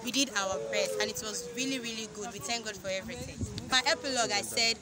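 A woman's voice: fairly high pitch, quick pace. A young woman with a Nigerian accent, a student performer, talking effusively and emphatically in an interview. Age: young adult. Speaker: student cast member being interviewed. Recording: busy outdoor crowd behind.

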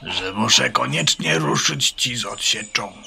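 An actor playing an anxious, loyal male farmyard bird in a children's radio play, earnest and cautious, with a gravelly, strained voice. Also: lowish voice, animated, a little slow, bright, forceful tone, slight background noise, full character voice.

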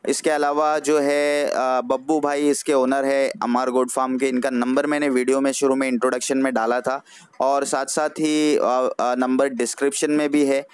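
A man's voice: mid-range voice, little pitch movement, normal speed, clear, resonant tone, no echo. A man hosting a livestock sales vlog, repeating stock phrases and mixing in English words. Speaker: video channel host. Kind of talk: vlog presentation of livestock for sale.

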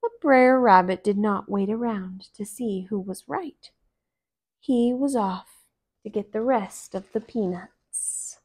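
A woman in her thirties narrating a children's story aloud in an expressive, deliberate way. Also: mid-range voice, slightly rough. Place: small living room, on a couch.

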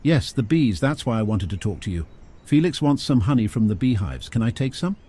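A man's voice: fairly low pitch, sing-song, fast talker, slightly rough, voice pushed up. A man voicing a cheerful, curious young girl who helps out on a farm, talking eagerly. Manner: eager, bright, upbeat.

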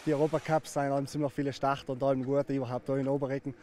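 A man's voice: mid-range voice, little pitch movement, very fast, a little mellow. A male athlete talking in a rambling way with fillers, outdoors at a ski slope.

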